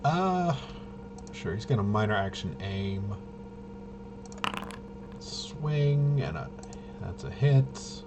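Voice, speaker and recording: A man's voice: lowish voice, big pitch swings, drawn-out words, long pauses, background noise. A man giving casual play-by-play of a tabletop roleplaying game, muttering and thinking aloud as he goes. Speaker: solo tabletop game player and host.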